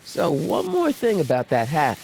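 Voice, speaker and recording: A man's voice: a little high, wildly swooping pitch, unhurried, creaky, a little mellow, slight background noise, exaggerated voice, low-quality recording. An actor playing a polite, inquisitive young man in a video game, talking casually and a little hesitantly as he probes. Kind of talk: video game dialogue acting.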